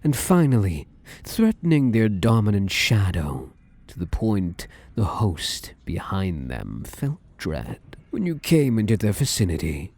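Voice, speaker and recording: A man voicing a possessive, domineering male shadow spirit in an ASMR roleplay, speaking slowly and menacingly and often slipping into whispers. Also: lowish voice, wildly swooping pitch, creaky, a little mellow, slightly rough, exaggerated voice. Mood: taunting. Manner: slow, menacing, drifts into whispers.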